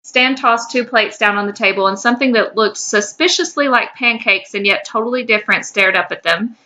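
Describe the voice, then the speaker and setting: A woman's voice: fairly high pitch, brisk, bright, forceful tone, rough recording. A woman speaking as a podcast co-host who chats and reads her own fan fiction. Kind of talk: casual chat and reading own fan fiction aloud.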